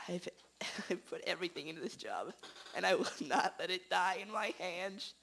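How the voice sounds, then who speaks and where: A man's voice: sits high, normal speed, vocal fry, pushed and bright, full character voice, low-quality recording. Young man playing a desperate, flustered newspaper editor. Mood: pleading. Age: teenager or young adult. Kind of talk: amateur radio drama acting.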